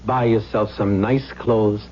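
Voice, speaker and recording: An actor playing a kindly, naive, bookish shopkeeper, earnest and preachy, in old-time radio drama style. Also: male voice, fairly low pitch, fairly even intonation, takes it slowly. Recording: muffled, noisy old radio recording.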